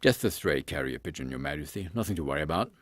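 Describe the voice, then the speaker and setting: A man playing a courteous, dutiful airship captain in an audio drama, speaking with formal military politeness in a husky voice. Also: very deep voice, animated, fast talker, very noisy. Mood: courteous, brisk.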